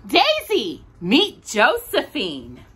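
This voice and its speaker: A woman's voice: on the high side, huge pitch swings, drawn-out words, sharp tone, slightly rough, heavily acted. A woman voicing a loving, playful father speaking to his little daughter in a children's storybook. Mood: cheerful surprise. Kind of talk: children's picture book read aloud.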